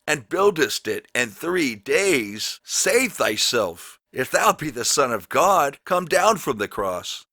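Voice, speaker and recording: A man voicing scornful priests and passers-by from scripture, jeering loudly with drawn-out taunts. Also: a little high, big pitch swings, no echo, clean studio sound, voice pushed up, full character voice. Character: scornful mocking men of priests and crowd.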